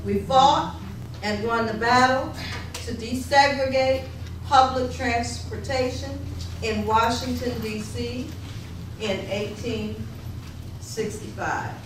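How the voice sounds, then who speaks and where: A woman declaiming a first-person historical reading, deliberate and broken into short phrases. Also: fairly high pitch. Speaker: readers theater reader. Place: echoey room, live rehearsal. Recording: very echoey, distant, faintly noisy.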